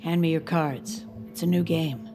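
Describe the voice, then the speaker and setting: A woman's voice: low voice, steady tone, moderate pace, creaky, music in the background. An actress playing a composed woman dealer who calls the cards with familiar ease in an audio drama. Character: composed, unhurried woman dealer running a poker game. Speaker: card dealer character.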